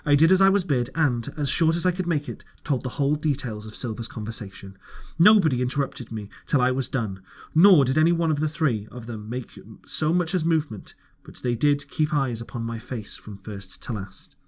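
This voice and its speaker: A man's voice: mid-range voice, lively intonation, brisk. A man narrating a first-person audiobook, an amateur reader who now and then stumbles over words.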